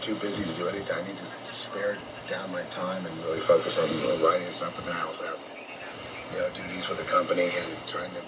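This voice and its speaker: A man's voice: low voice, distant mic. Noisy muffled shortwave radio, rambling American man. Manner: halting and repetitive, grandiose, coarse-spoken. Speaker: radio talk host and monologuist. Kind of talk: rambling off-the-cuff radio monologue.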